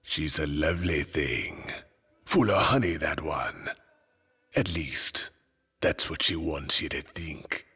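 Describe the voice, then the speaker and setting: A menacing convict mercenary from a sci-fi game. His voice is extremely deep and badly rasped, drawn out slowly with sly, suspicious insinuation. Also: expressive, sharp tone, full character voice. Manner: slow, cryptic, insinuating. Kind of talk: video game cutscene acting.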